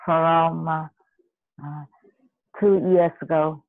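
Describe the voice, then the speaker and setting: A woman's voice: low-pitched, little pitch movement, no room sound. Hesitant woman on a dull video call. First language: Vietnamese. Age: 30s. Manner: hesitant, many pauses and fillers. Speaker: student. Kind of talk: halting answers in an online lesson.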